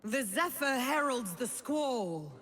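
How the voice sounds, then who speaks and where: A woman's voice: high-pitched, expressive, fairly bright tone, soft background music, exaggerated voice. A woman voicing a serene, commanding wind spirit with a solemn, goddess-like manner in a video game. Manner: stately, solemn, deliberate.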